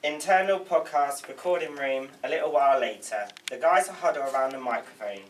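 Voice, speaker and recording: A man's voice: a little high, fairly quick. A man reading screenplay stage directions aloud at a table read. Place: indoor room, group table read. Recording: slightly noisy room, distant mic.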